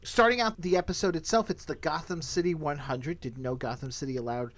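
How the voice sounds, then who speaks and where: A man's voice: on the high side, fairly even intonation, fairly quick. A man hosting a podcast, talking casually as he recaps and discusses. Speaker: podcast host.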